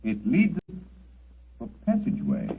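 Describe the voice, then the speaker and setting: Animated British gentleman detective, old radio. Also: fairly low pitch, unhurried, exaggerated voice. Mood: composed. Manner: crisp, precise, theatrical delivery. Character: brilliant, unflappable gentleman detective, commanding and dry. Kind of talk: 1940s radio acting. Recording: muffled, noisy old radio recording.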